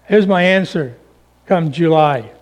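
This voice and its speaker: A man's voice: on the high side, animated, a little slow. A man in his seventies preaching a sermon in a folksy, humorous way, full of rhetorical questions. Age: 70s.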